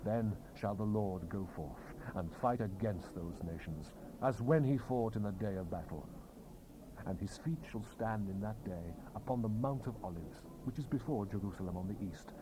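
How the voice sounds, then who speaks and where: A man's voice: lowish voice, moderate pace. Solemn man reading scripture, noisy old cassette. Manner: solemn, declamatory. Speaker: scripture narrator. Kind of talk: dramatized scripted Bible reading. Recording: noisy 1970s cassette rip.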